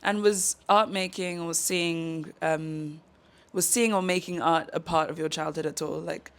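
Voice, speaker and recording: A woman hosting a podcast, reading a measured scripted introduction and then interviewing a guest in a studio. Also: on the low side, fairly even intonation. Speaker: podcast host. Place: artist's studio. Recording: music playing behind the voice.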